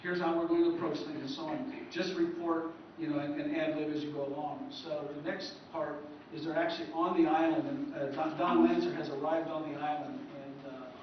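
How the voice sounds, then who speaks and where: A man gives an informal history talk. His voice is a little high and his manner rambling. He sounds muffled and distant in a heavily echoing room. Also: fairly even intonation, fairly quick, a little hiss or hum. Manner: rambling, conversational. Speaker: historian presenting at a convention. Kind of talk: informal lecture. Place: convention presentation room. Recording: echoey hall, distant muffled mic.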